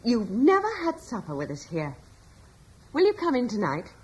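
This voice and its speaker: A gentle middle-aged noblewoman in a classic radio play, her breathy voice rising and falling widely. She speaks softly, with earnest, pleading questions. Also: medium pitch, wildly swooping pitch, moderate pace, lo-fi sound. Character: gentle older noblewoman, mother, anxious but steady. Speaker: Serena, lady of a British estate. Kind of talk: radio drama acting.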